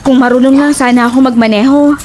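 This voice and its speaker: Actress as a high-voiced, chatty young companion. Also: female voice, fairly even intonation, fast talker, a little mellow, slight background noise, natural voice. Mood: concerned. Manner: inquisitive, everyday conversational delivery. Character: young female travelling companion, chatty, concerned, fretful. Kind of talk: audio horror drama dialogue.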